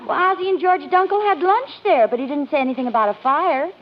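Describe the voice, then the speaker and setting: An actress playing a friendly, inquisitive young suburban housewife in a conversational, expressive 1940s radio sitcom style. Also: female voice, high-pitched, fast talker, natural voice. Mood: chatty, surprised. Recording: dull, noisy old radio recording.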